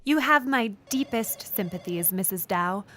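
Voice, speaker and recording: A woman playing an earnest, absurdly literal lawyer, formal and deadpan, in an audio comedy sketch. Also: mid-range voice, measured pace, soft background music. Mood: formal, sympathetic.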